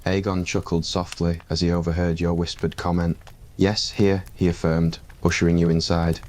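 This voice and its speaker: Homme à la voix très grave lisant un récit ASMR. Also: male voice, low-pitched, completely flat delivery, brisk, a little mellow, slight background noise. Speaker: narrator. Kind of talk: scripted ASMR story narration.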